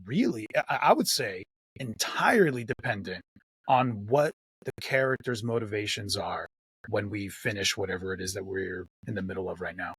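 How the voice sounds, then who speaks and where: A man's voice: on the low side, animated, moderate pace, a bit bright, husky, no echo. A man talking casually and thoughtfully in an interview as a game master, often repeating phrases for emphasis.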